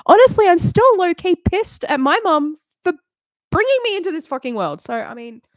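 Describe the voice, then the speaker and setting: A woman's voice: extremely high pitch, sing-song, moderate pace, dry recording. A woman in her late thirties chatting casually on a live stream, rambling and candid, swearing freely and laughing often. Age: late 30s.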